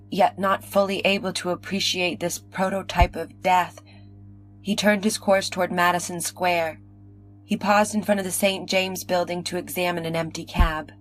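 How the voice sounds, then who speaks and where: A woman giving a dramatic, scripted reading of an old science fiction story as narrator. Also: fairly low pitch. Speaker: story reader. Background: faint music.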